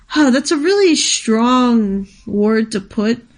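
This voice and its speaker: A young woman co-hosting an anime show, thinking aloud in relaxed, casual conversation. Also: fairly high pitch, slow pace. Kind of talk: casual chat, discussing anime.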